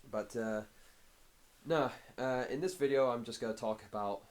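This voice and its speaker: A hoarse man with a cold gives a casual progress update to camera, talking haltingly with many filler pauses. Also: mid-range voice. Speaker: fitness vlogger.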